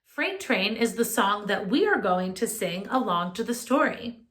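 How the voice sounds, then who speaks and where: A woman music teacher leading a children's lesson, speaking in a clear, instructive way straight to young listeners. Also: medium pitch, lively intonation, measured pace, a bit bright. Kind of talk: children's music lesson and read-aloud narration.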